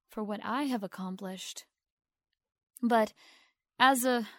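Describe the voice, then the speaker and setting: A poised young actress in an audio drama played by a woman speaks in a high, slow voice. She is formal and demure, sweetly grateful with a calculated polish, pausing deliberately as in an acceptance speech. The sound is close and dry. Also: a bit bright, no echo.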